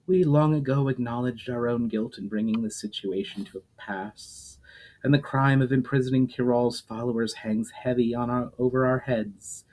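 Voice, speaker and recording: A guilt-laden ancient spirit answers with halting hesitation, as a man reads its lines. It comes from a read-aloud fantasy tale. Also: medium pitch, fairly even intonation, darkish tone, natural voice, poor audio. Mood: hesitant.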